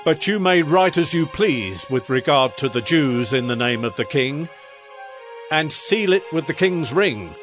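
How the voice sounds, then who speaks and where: An actor playing a grave, commanding Persian king in a dramatized scripture reading, stately and deliberate. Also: fairly high pitch, lively intonation, fairly bright tone. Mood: regal, decreeing. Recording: music behind the voice.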